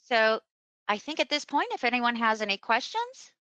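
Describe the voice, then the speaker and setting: A woman presenting a webinar as a software trainer, explaining a screen demonstration step by step in an unscripted way. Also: fairly high pitch, sing-song, normal speed, dry recording. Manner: instructional, step-by-step.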